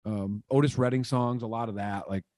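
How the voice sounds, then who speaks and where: A man in his thirties from Michigan tells stories of his early years as a musician, talking in loose, rambling bursts full of fillers. His voice sits at an ordinary middle pitch, close and dry on a boom-mounted studio mic in a small room at home. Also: a little fast, no room sound. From Michigan, USA. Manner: animated, rambling, lots of "like" fillers and gestures. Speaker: guest musician. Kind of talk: casual interview storytelling. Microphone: studio mic on boom arm, video call.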